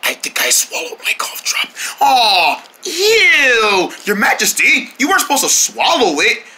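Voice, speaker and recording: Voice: huge pitch swings, a little slow. One-person paper-puppet skit with shifting voices. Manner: switches between squeaky and low put-on voices, lots of shouting and crying. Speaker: puppeteer voicing paper cutout characters. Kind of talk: homemade paper puppet skit, one performer voicing all characters.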